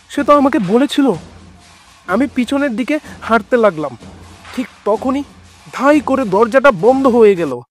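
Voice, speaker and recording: Animated male horror narrator over music. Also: extremely high pitch. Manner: dramatic, suspenseful storytelling. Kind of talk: scripted first-person horror story narration. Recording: background music under the voice.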